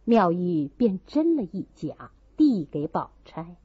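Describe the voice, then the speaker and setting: A woman reading a classic novel aloud as an audiobook, with expressive dramatized narration. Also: on the low side, slow, breathy edge, no room sound. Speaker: audiobook reader. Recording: slightly dull, muffled recording.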